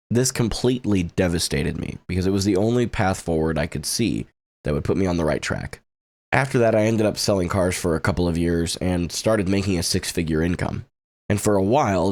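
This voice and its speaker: A man's voice: deep voice, darkish tone. A man in his late twenties sharing a personal faith testimony in an earnest, reflective way, with flashes of self-deprecating humor. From United States.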